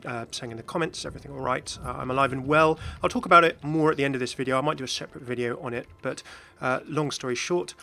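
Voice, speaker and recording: A man's voice: mid-range voice, vocal fry, pushed and bright. A man hosting a gaming video with casual, chatty commentary that drifts into hesitant asides. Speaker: video host, game content creator.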